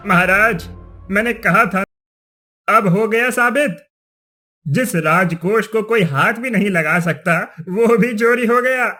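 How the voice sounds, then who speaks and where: Guy doing a pushy cartoon village headman, high bright voice, always blaming and warning. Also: takes it slowly, soft background music, exaggerated voice. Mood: accusing. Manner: insistent, accusatory. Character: superstitious, accusing, scheming male village chief. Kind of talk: Hindi cartoon story acting.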